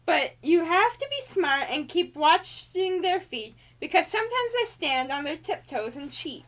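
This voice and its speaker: A very high-pitched cartoon angel lady, played by a woman, reads a children's storybook aloud. Her delivery is theatrical and sing-song, swooping widely through the rhymes and leaning hard on key words. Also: sing-song, measured pace, fairly bright tone, heavily acted. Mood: bright, excited. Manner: theatrical, exaggerated emphasis, showy. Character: Alice Angel, female cartoon angel reading a bouncy birthday storybook.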